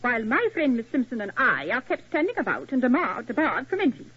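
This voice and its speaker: A woman's voice: fairly high pitch, very animated, a little fast, husky. An actress playing a haughty, bossy English woman tourist in a 1940s radio drama, old muffled recording. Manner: haughty, imperious.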